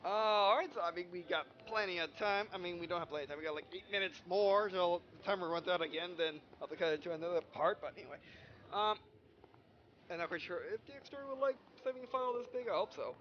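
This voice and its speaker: A man hosting a casual let's play, reading game dialogue aloud in a halting, stumbling way and correcting himself. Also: sits high, a little hiss or hum. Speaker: gaming video host. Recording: dull, lo-fi home recording.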